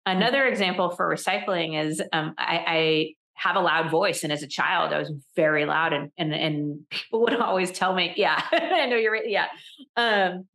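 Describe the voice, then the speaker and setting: A middle-aged woman talking candidly as a guest on a podcast interview, animated and quick to laugh, often repeating words as she restarts a thought. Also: on the low side. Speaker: podcast guest, leadership coach. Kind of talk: conversational interview.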